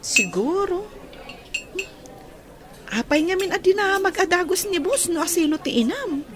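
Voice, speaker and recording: An eager young villager in a radio comedy drama, acted by a woman, with a very high voice and an inquisitive, respectful way of asking. Crowd murmur sits behind the voice. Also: sing-song, a little slow, full character voice. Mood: curious.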